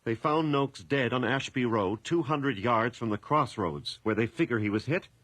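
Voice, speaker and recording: A man's voice: medium pitch, normal speed, a bit bright, dry recording, close to an everyday voice. A man acting in a radio drama as an anxious small-town mayor and father, flustered and defensive. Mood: grave, uneasy.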